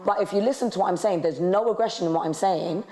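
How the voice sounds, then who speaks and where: A woman with a deep voice talks fast and passionately on a panel show. Her words come quick and articulate, and her voice rings in an echoey studio. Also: mellow. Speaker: panel host. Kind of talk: casual panel discussion.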